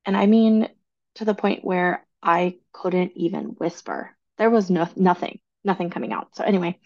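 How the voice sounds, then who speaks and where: A chatty woman in her thirties hosts a casual podcast, talking with easy enthusiasm. Her voice has a gravelly fry as it recovers from laryngitis, and the recording sounds a bit dull and lo-fi. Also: fairly low pitch, fairly even intonation, normal speed. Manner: chatty, enthusiastic about organizing. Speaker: podcast host. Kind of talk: casual solo podcast talk.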